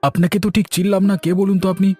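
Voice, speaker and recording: A man playing a suspicious but loyal village orchard keeper in a cartoon story, husky and earnest. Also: sits high, flat delivery, fast, warm tone, soft background music, natural voice. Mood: indignant, suspicious.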